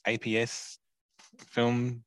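Male co-host, halting, easy laugh, dry close mic. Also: mid-range voice, monotone, clear, resonant tone, no room sound. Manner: hesitant, lots of ums, laughs easily. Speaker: podcast co-host. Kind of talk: casual chat describing photos.

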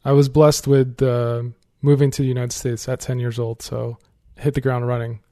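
A man's voice: medium pitch, little pitch movement, normal speed, mellow, no echo. A man whose first language is Arabic, hosting a podcast in a casual, dryly humorous way. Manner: dry, self-deprecating humor. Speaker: podcast host. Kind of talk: casual chat.

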